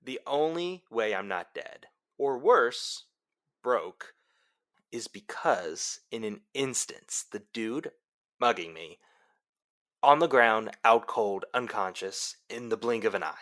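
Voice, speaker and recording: A young college radio host, played by a man, with a bright, punchy voice. He is excitable and adamant, bantering like talk radio and leaning on dramatic pauses. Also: mid-range voice. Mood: insistent. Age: young adult.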